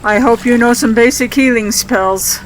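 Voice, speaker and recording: A woman playing an older, demanding but encouraging mage mentor in an audio drama, speaking in a measured, instructive way. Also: a little high, a little hiss or hum, close to an everyday voice, low-quality recording. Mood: brisk, testing.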